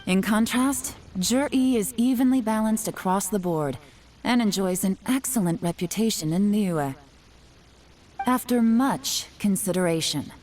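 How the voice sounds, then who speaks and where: A woman's voice: mid-range voice, a little mellow, slight background noise, lightly acted. An actress playing a composed, cunning female intelligence agent in a game. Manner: composed, knowing. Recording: game audio with faint music.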